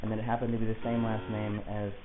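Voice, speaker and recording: A man playing an agitated, rambling client who insists he is being targeted, talking in a halting, digressive, self-justifying way in a naturalistic audio drama. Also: on the low side, monotone, lightly acted. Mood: earnest, uneasy. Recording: hissy, tinny phone-like lo-fi recording.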